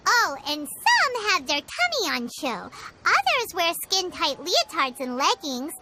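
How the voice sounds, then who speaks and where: Casting: childlike floating fairy mascot in a game, piercingly high, animated, chirpy and cheeky. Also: female voice, measured pace, very noisy, full character voice. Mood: chatty. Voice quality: squeaky, childlike. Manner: refers to self in third person, exclaiming. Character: tiny floating fairy girl companion, chirpy, cheeky, excitable. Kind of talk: video game character acting. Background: loud music.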